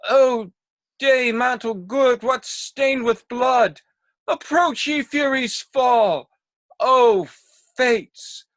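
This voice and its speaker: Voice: medium pitch, drawn-out words, a bit bright, no echo. An amateur actor playing a melodramatic lovesick stage hero, declaiming with bombastic grief over a home video call. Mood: horrified anguish. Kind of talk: hammy amateur stage acting.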